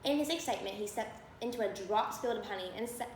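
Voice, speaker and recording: A woman's voice: mid-range voice, quick pace. A woman reading a children's storybook aloud as narrator. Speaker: storybook reader. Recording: roomy echo, faint background noise.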